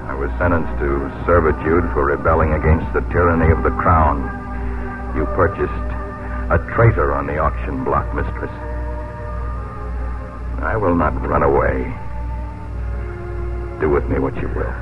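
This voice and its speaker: An actor playing a solemn, defiant bondservant in a 1950s radio drama. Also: male voice, rumbling low, steady tone. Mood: solemn, resigned. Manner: solemn, deliberate. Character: grave, defiant bondservant, a proud rebel. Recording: narrow vintage radio recording, music behind.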